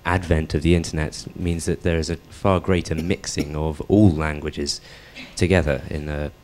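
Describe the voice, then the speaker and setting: A man with an extremely deep voice asks the panel a question at a public debate. He hesitates often and fills the gaps with ums. A faint room ambience sits behind him. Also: steady tone, normal speed, a little mellow, slight background noise. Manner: hesitant, many fillers. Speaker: audience member asking a question.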